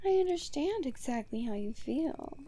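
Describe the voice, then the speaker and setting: A woman's voice: high-pitched, animated, mellow. A woman playing a taunting, seductive supervillain in an ASMR roleplay monologue, mocking and theatrical. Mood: bitter. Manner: mocking, teasing, theatrical, unhurried, breaking into whispers. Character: taunting female supervillain, smug, seductive, bitter toward heroes.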